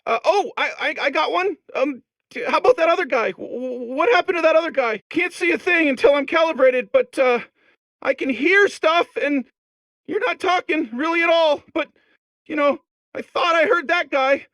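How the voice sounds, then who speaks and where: A man's voice: very high-pitched, normal speed, a bit bright, no room sound. A man voicing an anxious, timid robot core in a comedic video game, stammering and rambling with worry. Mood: worried, rambling.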